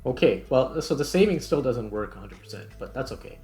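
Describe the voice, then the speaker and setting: A man's voice: mid-range voice, sing-song, a little fast, darkish tone, faint music behind. A man hosting casually, thinking aloud in informal speech as he comments on his screen recording. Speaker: video host, game developer.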